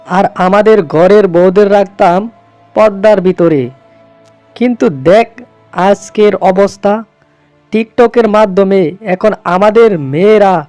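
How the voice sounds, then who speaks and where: High-pitched fretful fox dad played by a man. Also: a little mellow, clear, resonant tone, no echo. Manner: scolding, lamenting. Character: stern, fretful fox father, proud of family honour. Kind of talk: cartoon voice acting.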